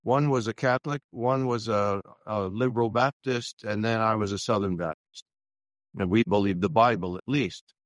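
A man's voice: lowish voice, moderate pace, dry recording. An older man, a pastor, sharing his testimony earnestly with frequent fillers and restarts. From Louisiana, US. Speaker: pastor, guest. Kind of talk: personal testimony in a radio interview.

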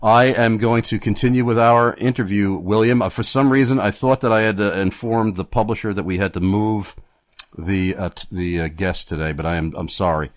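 A man hosting a radio interview, speaking formally and haltingly with many fillers. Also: fairly low pitch, fairly even intonation, no echo. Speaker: radio show host.

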